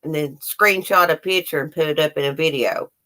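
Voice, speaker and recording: Blunt, low-voiced Southern country woman. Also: fairly quick, sharp tone. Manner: blunt, swears freely, plainspoken. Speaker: host of the show. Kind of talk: casual chat.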